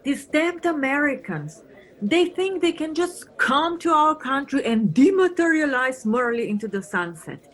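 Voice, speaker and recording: A woman's voice: on the high side, poor audio. A woman acting in an audio play as an irritable, weary Flemish police officer, dismissive and sardonic. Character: gruff, irritable female police officer from Flanders, weary, scornful of tourists.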